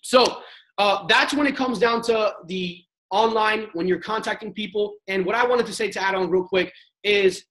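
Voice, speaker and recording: A man's voice: high-pitched, moderate pace, a bit bright, rough recording. Slangy young man coaching a sales team. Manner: casual and slangy, acts out sample chats; emphatic, coaching delivery. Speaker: network marketing panelist. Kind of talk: motivational sales training talk.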